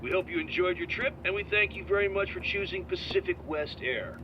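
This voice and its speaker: A man's voice: high voice, brisk, lightly acted. A man voicing a courteous airline crew member in a video game, giving a rehearsed cabin announcement. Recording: dull cabin speaker, engine noise.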